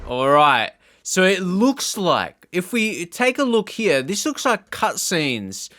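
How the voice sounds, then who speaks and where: A man's voice: high voice, big pitch swings, slow, bright, forceful tone. A man giving casual reaction commentary as a gaming YouTuber, thinking aloud in a hesitant, rambling way. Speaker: video game YouTuber.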